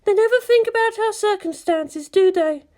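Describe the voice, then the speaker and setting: Woman playing a high-voiced tense young female commander. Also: normal speed, a little mellow. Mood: wry, tense. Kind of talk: fan fiction reading.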